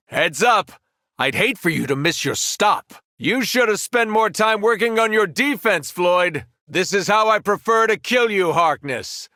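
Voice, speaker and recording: A man voicing a powerful superhero in a video game, speaking in an earnest, deliberate manner. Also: sits high, bright, forceful tone, no echo, clean studio sound, full character voice. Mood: taunting, scornful. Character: powerful male superhero, once kindly, now menacing. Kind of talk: acted video game voice lines.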